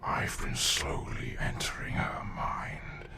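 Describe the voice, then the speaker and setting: A man playing an ancient, ravenous alien in a sci-fi audio drama, speaking in a whispery, gravelly growl with slow, terse menace. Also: natural voice. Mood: cold, sinister. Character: ancient ravenous alien feeding on human captives.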